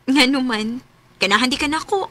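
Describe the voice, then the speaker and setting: A woman's voice: high-pitched, normal speed, bright, forceful tone. A woman with a Cebuano Filipino accent playing a forthright young single mother in her early twenties in a radio drama. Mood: earnest. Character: forthright 21-year-old single mother eager for love.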